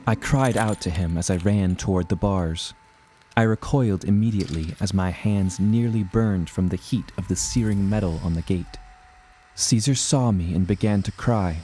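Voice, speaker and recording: Deep, dark-voiced male narrator. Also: slight background noise. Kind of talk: scripted first-person adventure narration.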